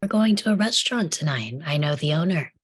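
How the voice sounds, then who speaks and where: A woman's voice: low voice, lively intonation, a little fast, slightly rough, no echo, studio quality. A woman in a comedy table read playing a poised, wealthy, condescending friend in a breezy, patronizing way.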